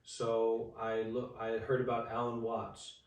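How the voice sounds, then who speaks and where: A man in his twenties, telling his life story as an interview guest in a halting way, with many fillers and restarts. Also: mid-range voice, completely flat delivery. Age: 20s. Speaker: interview guest, Buddhist monk. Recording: echoey room, far from the mic.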